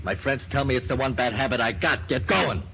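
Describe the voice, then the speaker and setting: An actor playing a crude, short-tempered gangster who talks in tough slang, in old-time radio drama style. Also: male voice, mid-range voice, animated, brisk, vocal fry, loud music behind the voice. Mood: threatening. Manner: slangy tough-guy talk, threatening. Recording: noisy, muffled old radio broadcast.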